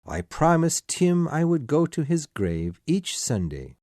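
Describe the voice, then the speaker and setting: A woman voicing a kind, grieving father who talks slowly and tenderly, keeping up a cheerful front in a storybook tale. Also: sits low, big pitch swings, dark tone, no room sound, clean studio sound, pitched above the performer's own voice. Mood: gentle sadness. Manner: slow, tender, emotional.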